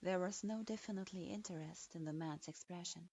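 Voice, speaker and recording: A woman narrating fan fiction in a slow, measured reading. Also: lowish voice, darkish tone, breathy edge. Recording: dull lo-fi recording.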